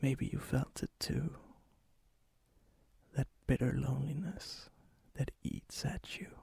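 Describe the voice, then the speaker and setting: A man playing a charming, ancient vampire and hopeless romantic in a soft-spoken, often whispered ASMR roleplay, slow, intimate and courteous, with a breathy, husky voice. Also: medium pitch, no room sound, exaggerated voice. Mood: seductive, musing. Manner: slow, intimate, teasing, old-fashioned courtesy. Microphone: close mic, dry sound.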